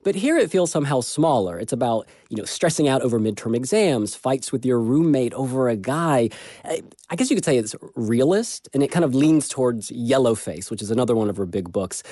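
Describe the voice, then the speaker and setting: A man's voice: medium pitch, animated, brisk, mellow, no echo, studio quality. A man giving a frank, conversational book review on a radio arts show. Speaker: book critic.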